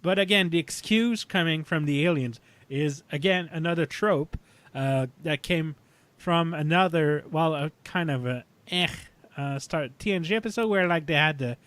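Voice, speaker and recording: A man talking casually as a podcast panelist about sci-fi television, thinking aloud in a halting way. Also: a little high, fairly bright tone.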